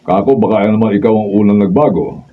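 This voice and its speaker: A man's voice: fairly low pitch, steady tone, fast talker, narrow, tinny audio, lightly acted. A Filipino man playing an older, indulgent father and grandfather in a radio drama, with thin, distant sound. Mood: concerned, questioning.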